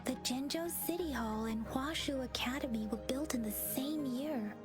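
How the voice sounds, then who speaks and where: Woman acting high-voiced, thoughtful young scholar. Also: moderate pace, lightly acted. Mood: explanatory. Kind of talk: video game voice acting. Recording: loud game music behind. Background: game music.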